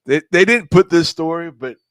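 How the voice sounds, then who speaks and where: A man telling stories casually on a podcast, often halting and searching for his words. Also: fairly high pitch, expressive, a bit bright, no room sound. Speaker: podcast co-host. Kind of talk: casual storytelling on a live podcast.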